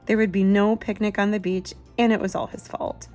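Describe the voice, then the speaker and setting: A woman's voice: medium pitch, brisk. Woman reading a picture book, music behind. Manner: expressive, simple storytelling for young children. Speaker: children's book reader, channel host. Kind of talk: picture-book read-aloud with asides to a child.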